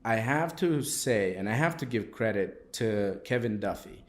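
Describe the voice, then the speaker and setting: Guy from moldova who runs a coffee shop, chatty and animated, does little voices for people. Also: lowish voice, measured pace. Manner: animated, acts out quoted people. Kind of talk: casual interview talk.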